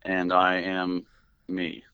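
Gravelly man on a dull, dry line. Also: deep voice, monotone, no room sound. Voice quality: gravelly this morning. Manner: halting, filler-heavy. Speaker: musician guest. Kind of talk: casual interview answers.

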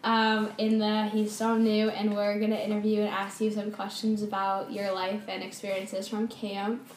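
A woman's voice: mid-range voice, monotone, moderate pace, slight background noise. A woman interviewing camp staff in a casual, hesitant way, full of fillers. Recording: echoey room from a distance.

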